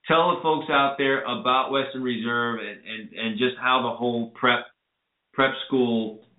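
A man's voice: mid-range voice, steady tone, unhurried. Earnest male radio host on tinny line. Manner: earnest, advising, lecturing listeners. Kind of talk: call-in radio talk. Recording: echoey, phone-like lo-fi audio.

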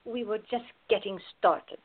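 A woman playing a wry, devoted lover in a radio play, husky-voiced, with dry, understated banter. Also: medium pitch, fairly even intonation, a little slow, no room sound, lightly acted. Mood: matter-of-fact. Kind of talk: amateur radio play acting. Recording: thin phone-like audio, dry.

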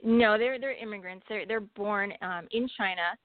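A woman's voice: medium pitch, normal speed, no echo. A woman from the United States, an actress, talking candidly in a casual interview with frequent laughs. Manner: candid, laughs while telling stories. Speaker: actress guest. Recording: tinny phone-like line.

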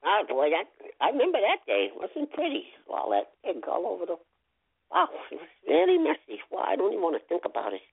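A man's voice: sits high, huge pitch swings, a little fast, creaky, husky, full character voice. A man voicing a rambling male bean in a comedic audio drama. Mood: reminiscing. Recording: phone-like lo-fi.

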